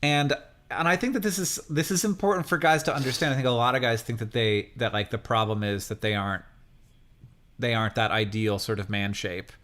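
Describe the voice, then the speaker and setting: A man co-hosting a podcast in casual, joking chat, talking in a halting way with rephrasings. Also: mid-range voice, fairly even intonation, a bit bright. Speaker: podcast co-host.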